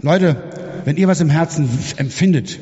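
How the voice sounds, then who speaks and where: A man's voice: fairly high pitch, moderate pace, mellow. A man interpreting a sermon live, with hesitant restarts as he paraphrases each line. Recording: muffled, echoey hall.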